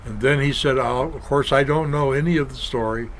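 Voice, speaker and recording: Older man, raised in Kentucky, guest storyteller, unhurried, plain, faint background hiss. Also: medium pitch, rough recording. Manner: unhurried, plain storyteller, acts out quoted dialogue. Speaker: guest, former stuntman and filmmaker. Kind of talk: storytelling in an interview.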